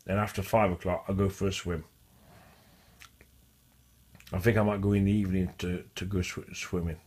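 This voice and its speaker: A man speaks in a fairly low voice, rambling casually to his viewers. He stutters, restarts often and circles back over the same phrases. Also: flat delivery, moderate pace, darkish tone, slightly rough. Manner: repetitive, restarts and repeats phrases. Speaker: vlogger. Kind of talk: casual rambling monologue to camera.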